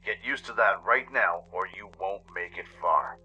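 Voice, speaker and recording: Man voicing a gravelly, menacing griffin. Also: sits low, measured pace, sharp tone, lo-fi sound, slight background noise, full character voice. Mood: stern. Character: scary, stern one-eyed male griffin, blunt mentor. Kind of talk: audio drama character acting.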